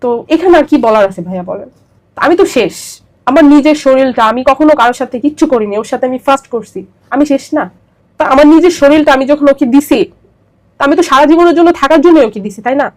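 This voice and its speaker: A young woman with a Bangladeshi accent shares a personal account in an interview. Her voice sits fairly high and she talks quickly, repeating herself for emphasis as the story pours out. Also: lively intonation, low-quality recording. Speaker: interview guest.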